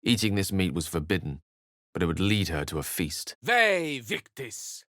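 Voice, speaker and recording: A man's voice: sits low, huge pitch swings, moderate pace, bright, forceful tone, dry recording, clean studio sound, exaggerated voice. A man acting an eloquent, cultured wizard in a video game, earnest and wry, with articulate, ornate phrasing. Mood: incanting.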